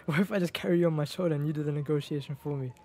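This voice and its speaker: A man's voice: on the high side, darkish tone. A man streaming a roleplay game, chatting casually and joking with teammates during a shootout. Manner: quick, laughing, joking. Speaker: streamer playing a roleplay character.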